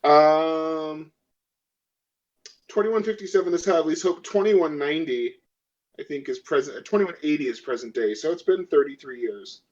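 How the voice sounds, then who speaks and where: A man's voice: fairly high pitch, moderate pace. A man chatting casually as a player in a tabletop role-playing game. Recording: dull lo-fi audio.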